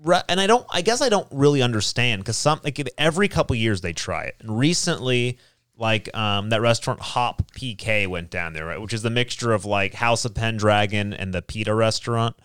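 A man hosting a podcast in casual chat, telling things in a halting, informal way. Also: fairly low pitch, animated, a bit bright, smooth, no room sound. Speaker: podcast host.

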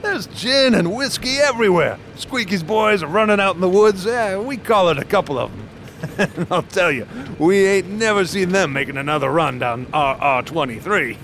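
A man's voice: sits high, animated, moderate pace, fairly bright tone, slight background noise. An actor playing a chatty, boastful male state trooper detective who laughs at his own stories, in a radio drama.

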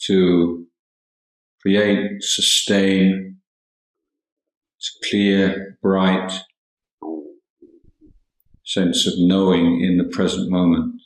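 A man giving a guided meditation talk as a Buddhist teacher, calm and measured, with long pauses between short phrases. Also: sits low. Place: indoor room at a monastery. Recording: roomy, echoing hall sound.

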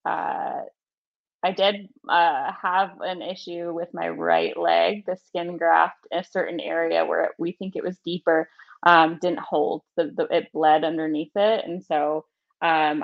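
A woman from Florida, a guest in an interview, telling her story in a measured way with many pauses. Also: deep voice, gravelly, muffled recording. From Florida, USA. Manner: measured, with many fillers and restarts. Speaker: podcast guest. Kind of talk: casual interview chat.

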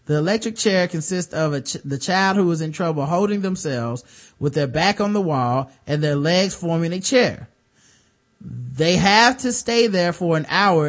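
A man with a light voice hosting a casual podcast, reading news stories aloud and breaking off for wry, sarcastic comments. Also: a little high. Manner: wry, sarcastic asides between read-out passages. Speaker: podcast host.

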